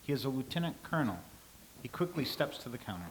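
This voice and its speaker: Guy reading out stage directions, plain even voice, faint room hum. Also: mid-range voice, quick pace, poor audio.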